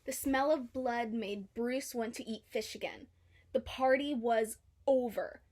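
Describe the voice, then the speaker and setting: A woman with a fairly high voice reads a children's story aloud. Her delivery is scripted storybook narration. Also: unhurried, a little mellow, a little hiss or hum. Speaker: storybook reader.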